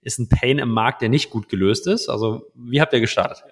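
An upbeat man hosts a business podcast from a studio. He reads his intro and asks questions in a lively way, mixing English business terms into his speech. The room is dry and close, with no echo. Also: mid-range voice, fairly quick. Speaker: podcast host. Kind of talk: casual interview with a scripted intro.

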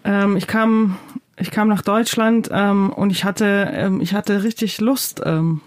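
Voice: medium pitch, steady tone, takes it slowly. Hesitant playwright. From Georgia. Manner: hesitant, many fillers. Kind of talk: interview answers.

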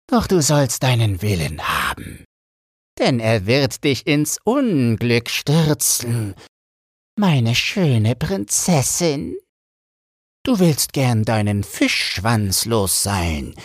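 A man voicing a scornful old female sea witch from a fairy tale, gloating and mocking in a theatrical, drawn-out manner. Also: medium pitch, no echo, clean studio sound, pitched above the performer's own voice, full character voice.

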